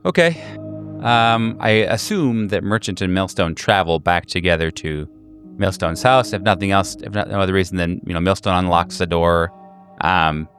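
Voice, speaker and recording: A man running a tabletop game, narrating off the cuff in a casual, halting, easygoing way. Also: low voice, a bit bright, faint music behind. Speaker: game master.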